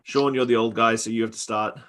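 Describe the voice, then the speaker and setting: A man's voice: mid-range voice, fairly even intonation, a little fast, fairly bright tone. Rambling Australian-raised podcast host, man. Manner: rambling, many fillers and restarts. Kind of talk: casual chat. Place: Victoria, Canada.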